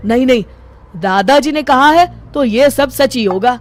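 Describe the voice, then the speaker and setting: A woman's voice: high-pitched, lively intonation, a little slow, music in the background. A woman voicing an earnest, cautious young man in a cartoon story, talking to his friend.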